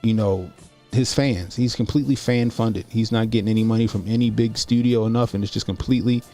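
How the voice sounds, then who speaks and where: Gravelly forty-year-old man. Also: fairly low pitch, fairly even intonation, brisk, dark tone, soft background music. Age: 40s. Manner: informal, repeats phrases for emphasis. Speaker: YouTube commentary host. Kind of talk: casual livestream commentary.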